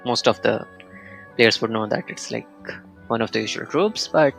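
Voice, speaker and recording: A man's voice: mid-range voice, normal speed, vocal fry, fairly bright tone, soft background music, low-quality recording. A man streaming a game with casual, informal, off-the-cuff playthrough commentary.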